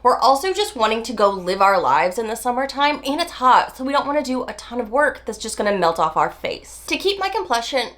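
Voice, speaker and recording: Beauty vlogger, woman in her 40s, a bit nasal from a cold, chatty and emphatic, highish voice. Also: very animated, fairly bright tone. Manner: chatty, emphatic, drawn-out stresses. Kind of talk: casual talk to camera.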